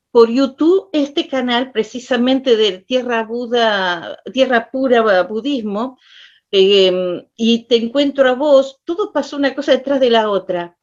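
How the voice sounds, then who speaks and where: A woman with an Argentine Spanish accent talks in a loose, rambling way, often stopping to hunt for a word. Her voice sits a little high. The sound comes through muffled, like lo-fi video call audio. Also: darkish tone. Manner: rambling, hesitant, searches for words. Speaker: participant in online Dharma session. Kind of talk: casual conversation, asking questions.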